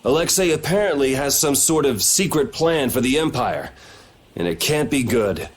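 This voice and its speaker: A man's voice: medium pitch, animated, moderate pace, slight background noise, lightly acted. A man voicing a blunt, resolute young swordsman in a video game, with music behind him. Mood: firm, resolute.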